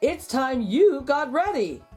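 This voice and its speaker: A woman's voice: high-pitched, very animated, slow, a little mellow, faint music behind, pitched above the performer's own voice, full character voice. A woman voicing a friendly, hearty male farmer in a children's storybook, calling out cheerful invitations.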